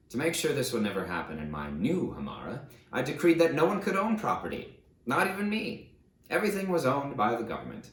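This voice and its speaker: A man's voice: on the low side, lightly acted. A man voicing a proud, defiant conqueror in dramatic first-person audiobook narration, declamatory and self-justifying. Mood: firm, emphatic. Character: proud, defiant conqueror ruler recounting his rise. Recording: roomy, echoey sound.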